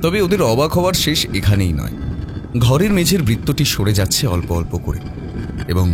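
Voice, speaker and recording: A man narrating a horror mystery story in a dramatic, suspenseful, theatrical way. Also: fairly low pitch. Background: prominent background music.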